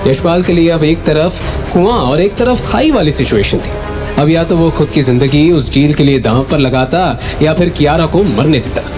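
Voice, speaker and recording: A man's voice: a little high, expressive, moderate pace. A man reading dramatic, scripted third-person narration for an audio story. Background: music.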